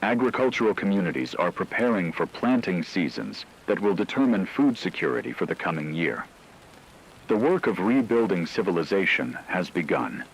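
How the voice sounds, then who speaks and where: A man playing a grave radio newsreader in an apocalypse drama, reading a scripted bulletin in a measured, formal, factual way. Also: low-pitched, vocal fry, slight background noise, rough recording. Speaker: news anchor.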